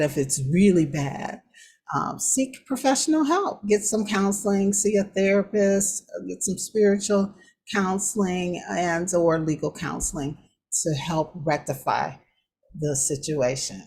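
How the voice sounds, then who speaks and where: A woman hosting a lifestyle talk show, speaking to listeners in an encouraging, conversational way with a husky voice. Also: lowish voice, a little mellow. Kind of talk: motivational talk and sign-off to listeners.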